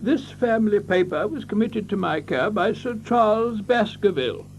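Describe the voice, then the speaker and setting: Flustered, high-pitched, stammering country doctor. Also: male voice, animated, normal speed, vocal fry, a little hiss or hum. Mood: flustered, eager. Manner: stammering, dithering, repeats himself. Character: flustered, fussy, excitable English country doctor with a tale. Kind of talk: theatrical radio drama acting.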